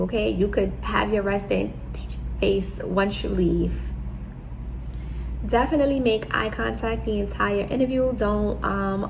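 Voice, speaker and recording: A woman's voice: medium pitch, gravelly, slight background noise, rough recording. A woman from New York City with a New York accent, giving frank, chatty job interview advice to her viewers. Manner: direct, chatty, repeats "okay?" to drive points home. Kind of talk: casual advice talk to camera.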